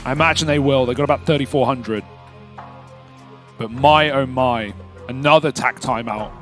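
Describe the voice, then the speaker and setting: A man's voice: medium pitch, moderate pace, a bit bright, faint music behind. A man commentating live on an esports match, enthusiastic and analytical in his delivery.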